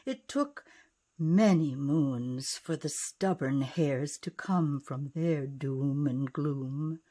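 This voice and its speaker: A woman reading a rhyming picture book expressively, with dramatic delivery that dips into hushed tones. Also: low voice, drawn-out words. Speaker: author and narrator.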